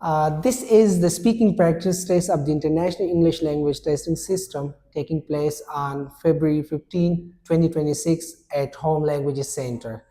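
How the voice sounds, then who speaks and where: A man acting as examiner in a mock speaking test, asking questions in a formal, measured way. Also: on the high side, warm tone. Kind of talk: scripted exam questions.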